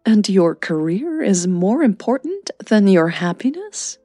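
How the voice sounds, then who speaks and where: Woman playing a blunt, caring mother, slow and mellow. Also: mid-range voice, sing-song, no echo, soft background music. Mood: blunt, emotional. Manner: blunt, emotional. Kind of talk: audio drama dialogue.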